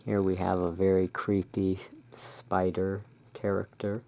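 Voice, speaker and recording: A low-pitched, gravelly ASMR voice that moves very slowly through its descriptions. The delivery stays level and hushed, as a roleplaying shopkeeper muses over artwork. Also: monotone. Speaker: host of a roleplay as a curiosity emporium owner. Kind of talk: ASMR roleplay, describing tarot card art.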